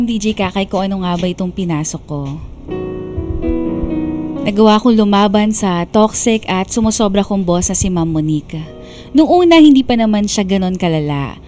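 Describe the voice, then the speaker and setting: A woman playing a burned-out young woman who tells her life story in measured, confiding radio drama narration. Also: medium pitch, dark tone, lightly acted. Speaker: narrator reading a letter sender's story. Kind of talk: scripted first-person radio drama narration. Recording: background music under the narration.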